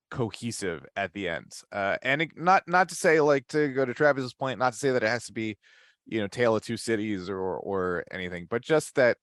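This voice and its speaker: A man giving measured, halting opinions as a panelist in a casual podcast discussion. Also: on the low side, no room sound, studio quality.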